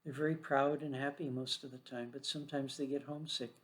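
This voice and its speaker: A man's voice: medium pitch, little pitch movement, a little fast, a bit bright. A man reading a scripted children's story aloud as the storyteller.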